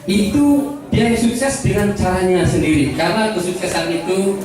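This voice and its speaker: Indonesian guy doing a motivational talk, slightly high voice, super echoey hall, students reacting behind. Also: moderate pace, over music. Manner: joking, asks the audience questions. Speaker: motivational speaker. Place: large school hall. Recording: very echoey hall, speaker far from mic. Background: students laughing and reacting.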